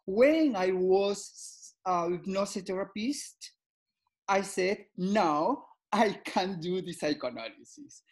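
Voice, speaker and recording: A man in his fifties from Peru, answering interview questions in halting English and often repeating words. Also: high-pitched, expressive. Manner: halting, repeats words, non-native phrasing. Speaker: guest psychoanalyst.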